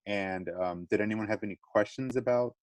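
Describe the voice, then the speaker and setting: A man's voice: lowish voice, a little fast, no room sound. A man teacher leading a small online class discussion, encouraging students and checking in often. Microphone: video call.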